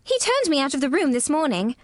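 A woman's voice: high-pitched, big pitch swings, fast talker, dry recording, studio quality, voice pushed up. A woman voicing a fierce, bossy little girl in a storybook reading, scolding and commanding. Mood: indignant.

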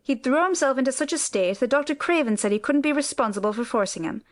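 A woman's voice: high-pitched, expressive, fast, voice pushed up. A woman reader voicing a flustered, talkative older housekeeper who rambles through her explanations. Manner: breathless, rambling explanations, lots of "sir".

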